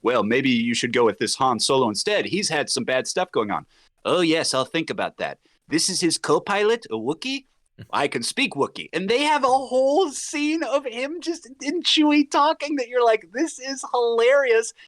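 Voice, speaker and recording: A man chatting casually as a podcast panelist, animated and slipping into acted-out dialogue as he talks. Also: high-pitched, normal speed, no room sound, studio quality. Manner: animated, acts out imagined dialogue. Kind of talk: casual pop-culture podcast chat.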